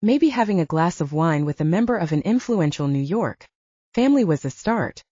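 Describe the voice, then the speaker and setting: A woman's voice: fairly low pitch, lively intonation, fairly quick, dark tone, no echo, dull audio. A woman reading a romance novel aloud as a scripted audiobook narrator.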